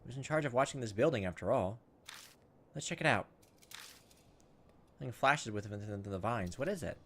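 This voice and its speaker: A man's voice: medium pitch, lively intonation, normal speed, a bit bright, slight background noise. A man doing gameplay commentary, casually thinking aloud and reading visual novel text as he goes. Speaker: video game playthrough host.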